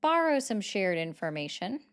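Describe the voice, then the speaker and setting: A woman's voice: medium pitch, sing-song, moderate pace. A woman hosting a casual political livestream, chatting with viewers and thanking them for gifts.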